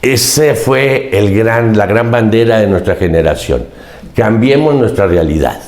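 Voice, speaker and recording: A man's voice: on the low side, animated, measured pace, darkish tone, reverberant. A Mexican man in his seventies, a podcast guest recounting his life in an earnest, emphatic way and often repeating key phrases. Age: 70s. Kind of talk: reflective interview storytelling.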